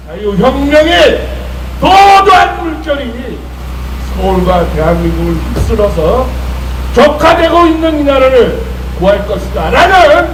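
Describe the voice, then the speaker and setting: High, strained man shouting a rally speech. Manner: declamatory, emphatic, drawn-out phrases. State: slightly strained voice. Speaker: political rally speaker. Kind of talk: impassioned protest speech. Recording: echoey, noisy outdoor loudspeaker, distant mic.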